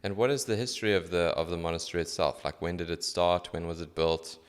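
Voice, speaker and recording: Deep-voiced man hosting a podcast. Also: fast, clear, resonant tone. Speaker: podcast host. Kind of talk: podcast interview questions. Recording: roomy hall-like reverb.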